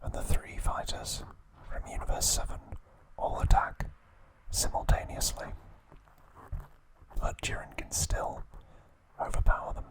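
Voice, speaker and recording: A man whispering an ASMR recap of trivia, pausing now and then to correct himself. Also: poor audio. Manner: slow soft whisper, occasional self-corrections. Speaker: ASMR host.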